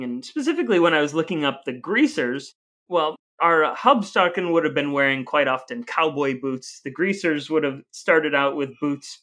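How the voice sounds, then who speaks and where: A man's voice: on the high side, fairly bright tone. A man co-hosting a podcast, telling stories casually in a wry, jokey way. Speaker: podcast co-host. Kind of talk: casual conversational storytelling.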